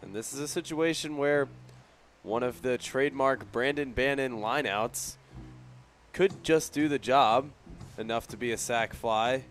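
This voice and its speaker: Mid-pitched male baseball color commentator. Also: measured pace, a bit bright, faint music behind. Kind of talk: analytical sports commentary.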